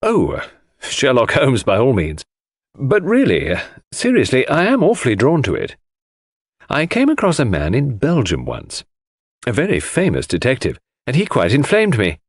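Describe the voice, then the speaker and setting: A man voicing an earnest, self-satisfied gentleman in an audiobook, eager and slightly pompous. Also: medium pitch, huge pitch swings, normal speed, slightly rough, no room sound, studio quality, pitched above the performer's own voice, exaggerated voice.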